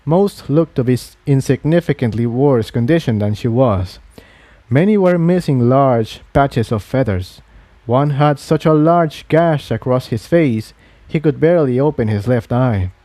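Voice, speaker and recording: A man's voice: mid-range voice, expressive, unhurried, warm tone, no echo. A man reading a fantasy adventure story aloud as a scripted narrator. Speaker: narrator, fan fiction reader.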